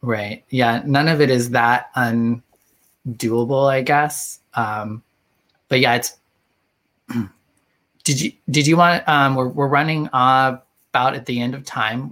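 A man hosting an online interview, soft-spoken and hesitant, with many ums, heard over a video call. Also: mid-range voice, fairly even intonation, measured pace. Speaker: host. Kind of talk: casual interview chat.

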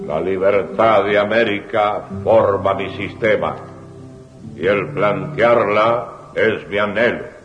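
An actor playing a solemn heroic revolutionary leader, declaiming gravely in a 1980s radio drama. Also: male voice, on the low side, takes it slowly, over music. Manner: grave, oratorical. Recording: dull old radio recording, echoey hall.

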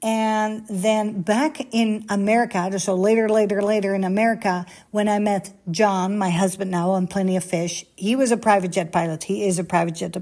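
A middle-aged woman with a Dutch accent tells her story casually, drifting into asides and correcting herself as she goes. Her voice sits at a mid pitch with a mellow, darker color. She sounds like someone chatting to her listeners. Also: fairly even intonation, normal speed, few pauses. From Netherlands. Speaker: podcast host, former flight attendant.

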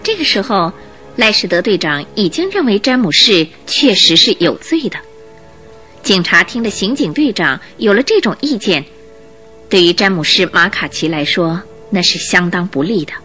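An expressive detective-story narrator for radio drama. The scripted telling has lively pitch movement, with music playing behind. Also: female voice, moderate pace. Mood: narrating.

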